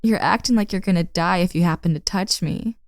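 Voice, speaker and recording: A woman's voice: lowish voice, brisk, dry recording, clean studio sound, lightly acted. A woman playing a confident, teasing young woman in an intimate roleplay audio drama, speaking casually and playfully to the listener.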